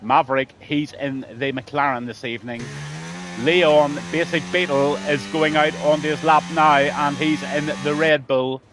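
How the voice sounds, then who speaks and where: A man's voice: a little high, fairly even intonation, measured pace, over music. A man with a Scouse accent commentating a sim race on a live stream, greeting viewers by name and letting his voice trail off at the ends of lines.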